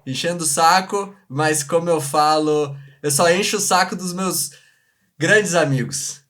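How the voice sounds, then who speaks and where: A man's voice: on the high side, a little slow, a bit bright. A man with a Brazilian accent hosting a casual livestream chat, informal and slangy, often repeating himself. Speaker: live show host. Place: remote video live stream from separate location.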